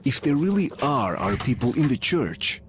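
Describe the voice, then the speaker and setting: A man playing a solemn soldier who narrates his post-apocalyptic journey in a video game, measured and introspective. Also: medium pitch, sing-song, natural voice. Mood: sombre resolve. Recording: game narration over clear background noise.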